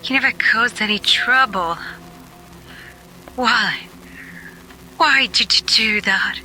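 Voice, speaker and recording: A grieving young adventurer from an audio drama, acted by a woman, haunted and anguished. The voice is tense and slow, breaking into halting phrases. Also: a little high, bright, forceful tone, faint music behind, heavily acted, low-quality recording. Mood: anguished, bitter. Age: young adult. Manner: anguished, halting, breathy at times.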